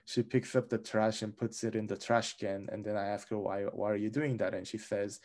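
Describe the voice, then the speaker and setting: Hombre con acento coreano, voz grave. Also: male voice, fairly low pitch, level tone, darkish tone. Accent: Korean. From Korea. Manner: thoughtful, frequent pauses and uh fillers. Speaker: research analyst. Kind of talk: panel discussion.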